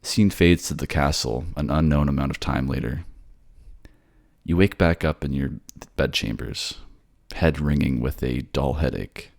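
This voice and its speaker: A man's voice: rumbling low, a little slow, gravelly. A man reading scripted second-person narration for a fantasy audio drama. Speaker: narrator and voice actor.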